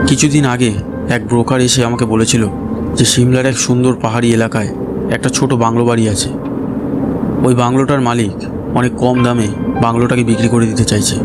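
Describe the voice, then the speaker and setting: Man narrating over loud music. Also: medium pitch. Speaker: narrator of a horror story. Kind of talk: scripted first-person story narration. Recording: loud music bed behind voice.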